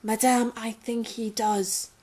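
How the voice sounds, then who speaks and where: A woman playing an encouraging, excitable enchanted castle servant in an audio drama, with a theatrical manner. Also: fairly high pitch, slow, faint music behind.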